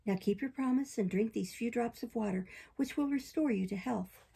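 Woman as a patient, mellow motherly fairy. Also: fairly high pitch, normal speed, vocal fry, husky, full character voice. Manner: loving, patient.

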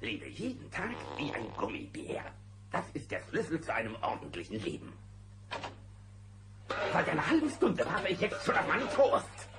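An actor playing a grumpy, self-important bear who likes order and scolds, in a German children's audio drama. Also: male voice, a little high, huge pitch swings, moderate pace. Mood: grumpy, bossy. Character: grumpy, bossy, orderly male cartoon bear. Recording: noisy old cassette transfer.

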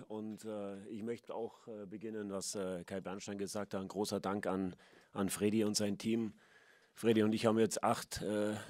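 A middle-aged man giving a halting statement as club managing director at a press conference, on a table mic. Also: on the low side, darkish tone, no echo, clean studio sound. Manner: halting, many filler sounds. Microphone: table microphone.

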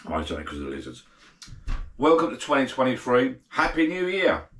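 A middle-aged man, a bit croaky after a night of drinks, rambling casually and self-deprecatingly to camera from his garden bar shed. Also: mid-range voice, wildly swooping pitch, a little fast, fairly bright tone, roomy sound, a little hiss or hum. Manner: chatty, self-deprecating, meandering. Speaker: vlogger.

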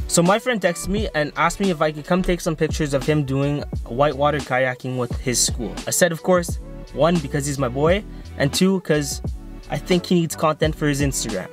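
Male vlog host, twenties, casual delivery, slightly high voice over clear background music. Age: 20s. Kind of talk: casual vlog talk to camera. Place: car interior, a room, outdoors by a river at different times. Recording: music behind the voice.

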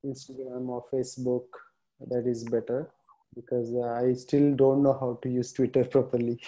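A man from India speaking as a guest on a video call, halting and filling pauses with "uh". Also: mid-range voice, little pitch movement, dark tone, poor audio. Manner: hesitant, halting, with many fillers. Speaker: keynote guest, tour organizer. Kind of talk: casual video-call chat.

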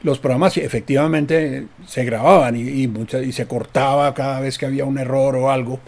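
A man's voice: mid-range voice, fairly even intonation, brisk, creaky, darkish tone, slight background noise. An older man, explaining things by example in a reminiscing interview.

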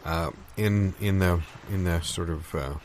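Casting: male improviser as fussy shopper, lowish voice, stammers and trails off, deadpan sketch comedy, faint noise behind. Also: measured pace. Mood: hesitant, worried. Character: hesitant, fussy, budget-minded male customer shopping for a sandbar.

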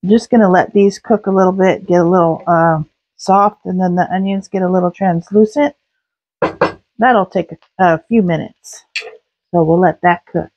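A woman narrating a home cooking video in her kitchen, talking through each step in a relaxed way with small fillers. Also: lowish voice, moderate pace, lo-fi sound. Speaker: home cook, channel host.

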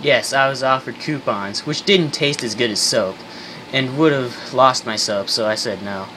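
A man playing a deadpan failed soap tycoon, noisy recording. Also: medium pitch, moderate pace, natural voice. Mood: bitter. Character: failed, soap-obsessed former tycoon, boastful and bitter. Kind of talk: amateur 1930s radio skit acting.

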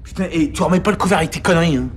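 A man's voice: very fast, close to an everyday voice. An actor playing a touchy, sullen young man in a radio drama. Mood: irritated. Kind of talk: French radio drama acting. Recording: loud vehicle rumble behind.

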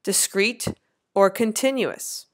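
A woman in her thirties narrating a slideshow lecture as an instructor, with clear, lively teacherly emphasis. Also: mid-range voice, takes it slowly. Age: 30s.

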